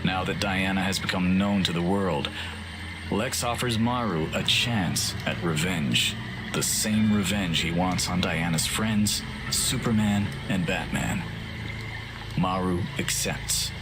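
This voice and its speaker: A man's voice: sits low, slow, noisy background. A man narrating a scripted superhero story in a dramatic storytelling style. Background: music.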